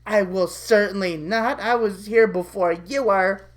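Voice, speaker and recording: A woman's voice: medium pitch, measured pace, lightly acted. A woman playing a bookish young woman with a prim, formal, wordy way of talking in a cartoon-style story. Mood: indignant.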